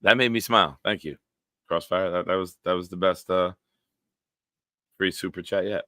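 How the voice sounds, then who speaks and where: A man in his forties with a smooth, very low voice hosts a live stream, tossing blunt, profane banter at his chat. His delivery is forceful and punchy. The sound is dry and close, with no room around it. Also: fairly even intonation, normal speed, dry recording. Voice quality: deep, smooth voice. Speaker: live-stream show host. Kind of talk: casual live-stream chat, reading viewer comments.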